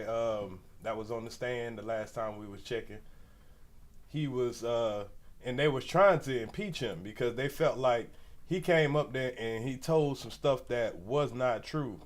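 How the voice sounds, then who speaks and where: A man in his forties hosting a casual livestream commentary, slangy and rambling, with a stuffy nose. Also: mid-range voice. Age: 40s. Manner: loose, rambling, slangy, talks straight to viewers. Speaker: commentary channel host.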